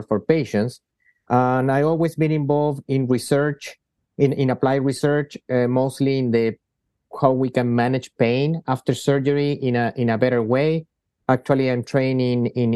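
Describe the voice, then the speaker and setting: A man's voice: medium pitch, mellow, smooth, no room sound, clean studio sound. A man from Chile, a surgeon and company founder answering interview questions, often restarting and repeating words as he talks.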